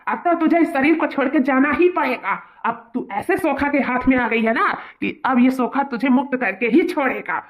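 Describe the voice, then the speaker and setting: Cartoon village exorcist, a man, played by a woman: high-pitched, imperious, boastful, slightly muffled recording. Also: moderate pace, few pauses, close to an everyday voice. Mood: commanding. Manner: chanting, threatening, imperious. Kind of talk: comic cartoon dialogue. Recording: dull lo-fi cartoon soundtrack.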